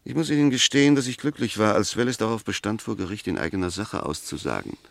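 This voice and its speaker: An actor in a 1960s German radio play, playing a measured, incisive male defence lawyer who argues formally and methodically. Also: on the low side, animated, creaky, a bit bright, no room sound, clean studio sound. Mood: grave, emphatic. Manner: articulate, methodical, rhetorical, formal.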